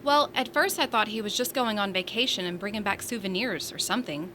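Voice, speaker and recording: A woman's voice: mid-range voice, a little fast, background noise, close to an everyday voice. A woman playing a teasing, feisty space station resident in a science fiction audio drama, full of sassy banter. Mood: chatty, teasing.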